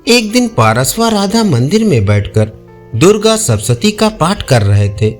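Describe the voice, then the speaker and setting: A man's voice: on the high side, big pitch swings, measured pace, soft background music. A man narrating a scripted audio folk tale as a storyteller.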